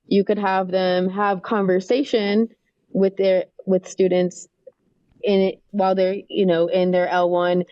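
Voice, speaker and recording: A woman who coordinates English learner programs, talking through classroom advice in a relaxed, thoughtful way with frequent restarts. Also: mid-range voice, dull audio. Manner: thoughtful, restarts often, many "um" and "right?". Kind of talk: casual podcast interview.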